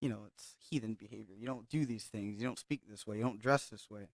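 A man's voice: lowish voice, fast, no echo. A young man from Hawaii, a guest telling his story reflectively in casual podcast chat, with many fillers.

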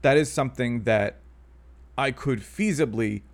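A man's voice: mid-range voice, measured pace. A man in his thirties chatting casually as a podcast co-host, rambling and hedging with fillers and restarts. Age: 30s. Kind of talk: casual chat.

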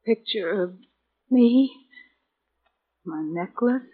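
A woman's voice: medium pitch, animated, drawn-out words, husky, full character voice. An actress playing a haunted, anxious young lady in peril in a 1940s radio mystery, melodramatic and pleading. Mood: uneasy. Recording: muffled, hissy 1940s radio recording.

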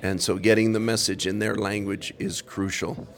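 Deep-voiced podcast host saying amen. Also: male voice, little pitch movement, normal speed. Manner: warm, affirming, frequent amens. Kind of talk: interview on a mission trip.

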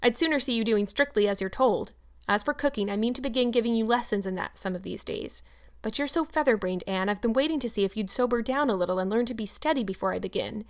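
A woman's voice: medium pitch, a bit bright, natural voice. A woman voicing a stern, brusque older spinster who scolds in a clipped way.

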